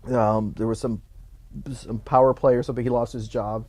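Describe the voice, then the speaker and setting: A man born in Cuba, a publicist, relaxed and rambling as he tells his life story in an interview. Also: mid-range voice, moderate pace. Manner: relaxed, rambling, many restarts and fillers. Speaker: Hollywood publicist, guest.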